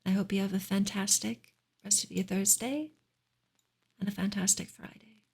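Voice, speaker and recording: A woman speaks in a soft ASMR manner, gentle and close to a whisper. Her voice is extremely breathy with a creaky edge, and her intonation stays quite flat at a medium pitch. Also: normal speed. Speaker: ASMR streamer and reader. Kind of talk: soft-spoken ASMR book reading and chat.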